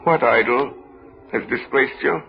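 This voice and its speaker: A man's voice: mid-range voice, unhurried, gravelly. An actor playing an earnest, defensive suitor in his prime in a 1930s radio drama, arguing through questions. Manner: argumentative, rhetorical questions. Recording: muffled, noisy 1930s radio recording.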